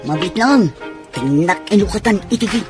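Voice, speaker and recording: A woman's voice: mid-range voice, lively intonation, moderate pace, over loud music, natural voice. A woman playing a helpful, agreeable friend in a radio drama, speaking conversationally.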